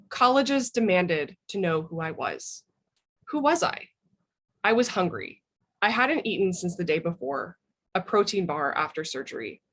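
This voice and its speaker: A woman reads a personal memoir aloud as its author. The voice sits a little low for a woman, and the delivery is very animated, with pitch moving widely through the narration. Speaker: memoirist guest reading from their book. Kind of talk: expressive reading of a personal memoir.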